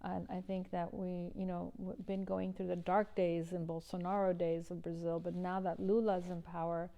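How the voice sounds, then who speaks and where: Low gravelly-voiced woman activist. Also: level tone, normal speed, mellow, highs cut off. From Iran. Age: middle-aged. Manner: earnest, many restarts and fillers. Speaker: environmental activist guest. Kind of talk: interview answers.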